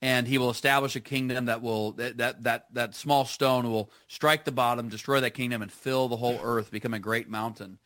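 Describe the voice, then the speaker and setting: A man's voice: mid-range voice, fairly even intonation, a little fast, hardly any pauses, fairly bright tone, no room sound. A man as a guest on a live radio show, chatting enthusiastically with plenty of fillers and restarts. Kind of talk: casual radio conversation.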